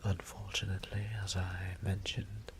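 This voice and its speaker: A man playing a weary, tender vampire priest in an intimate audio roleplay, whispering breathily with halting, formal speech. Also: low-pitched, flat delivery, noisy background. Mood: solemn, troubled. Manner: hushed, halting, formal wording. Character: weary, tender vampire priest, adult male, troubled exile.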